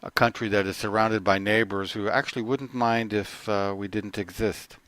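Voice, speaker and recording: A man's voice: on the low side, fairly even intonation, fairly bright tone, dry recording. Earnest middle-aged man, dry sound. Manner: earnest, halting with restarts. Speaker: corporate trainer. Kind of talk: personal testimony to camera.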